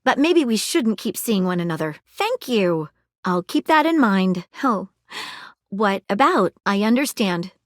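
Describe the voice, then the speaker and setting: A woman voicing an earnest, flirtatious female detective in a video game, with formal, theatrical, deliberate phrasing. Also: mid-range voice, big pitch swings, no echo, studio quality. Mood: earnest, gently firm. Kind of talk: scripted game character dialogue.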